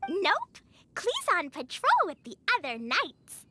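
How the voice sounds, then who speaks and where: Squeaky, excitable little girl. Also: slow, dry recording, soft background music, full character voice. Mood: cheerful, innocent. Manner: childlike, eager, bubbly. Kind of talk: video game voice acting.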